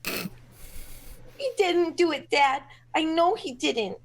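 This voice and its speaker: A woman's voice: very high-pitched, animated, extremely slow, a little hiss or hum, full character voice. A woman playing an anxious, earnest daughter loyally defending her man in an old-time radio crime play.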